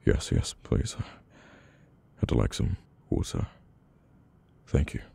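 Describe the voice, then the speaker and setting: A man with a British accent playing a brooding, guarded mafia boss in an intimate, halting, murmured ASMR roleplay. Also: very deep voice, lively intonation, gravelly, mellow, breathy edge, heavily acted. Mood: subdued, wry.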